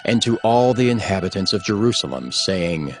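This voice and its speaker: A male narrator reads scripture in a low voice, formal and measured. Soft music plays behind him. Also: very animated.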